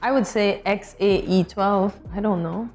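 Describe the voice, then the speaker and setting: A woman's voice: mid-range voice, unhurried, a little mellow. A woman co-hosting a morning show, chatting casually with playful, competitive banter. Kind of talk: casual studio chat.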